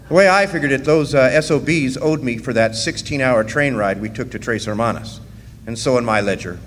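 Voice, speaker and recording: A man's voice: medium pitch, close to an everyday voice. An actor playing a wry rail-riding drifter who looks back on his past as narrator of a radio play. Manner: storytelling, dryly wry. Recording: live hall reverb, faint background noise.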